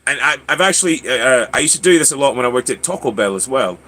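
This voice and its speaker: A man's voice: medium pitch, lively intonation, fast talker, pushed and bright. A man chatting casually on a livestream in a put-on British accent, rambling through stories with playful character-voice touches. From Louisiana, United States. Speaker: livestream host. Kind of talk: casual livestream chat with anecdotes.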